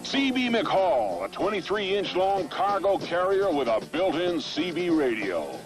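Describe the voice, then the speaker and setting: An American man announces a vintage toy commercial in a fairly high, very animated voice. Music runs clearly behind his scripted narration. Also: unhurried, low-quality recording.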